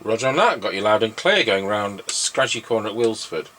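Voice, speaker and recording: A man's voice: fairly low pitch, expressive, a little fast, a bit bright. Matter-of-fact man over background noise. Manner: matter-of-fact, uses radio procedure words. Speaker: radio repairer and test operator. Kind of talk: casual chat and CB radio test calls.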